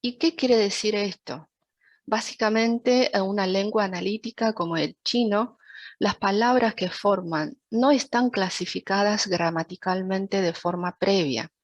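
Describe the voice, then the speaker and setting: A woman from China, a native Chinese speaker, gives a prepared lecture as an interpreter in a formal, measured, didactic way. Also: mid-range voice, no echo, highs cut off. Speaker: interpreter giving a talk. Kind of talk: prepared lecture, read from a script.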